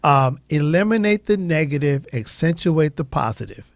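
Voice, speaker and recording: Higher-pitched man, casual radio talk. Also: animated, normal speed, creaky, no room sound. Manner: affirming, repeats short phrases.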